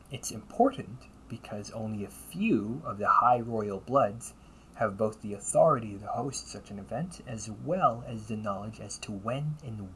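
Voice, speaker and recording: Casting: male actor for shrewd, composed demon hunter in an audio roleplay, fairly low voice, deliberate and probing, slight noise behind. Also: low-quality recording. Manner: measured, deliberate, probing, with pauses and hushed phrase endings. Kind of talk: audio roleplay, scripted character monologue addressed to listener.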